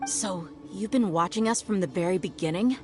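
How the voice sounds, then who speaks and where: An actress playing a confident, sardonic mercenary with a cool, casual manner in a video game. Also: female voice, medium pitch, normal speed, music in the background, lightly acted. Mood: wary. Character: confident, wry female desert mercenary, cool under pressure. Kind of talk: anime-style video game voice acting.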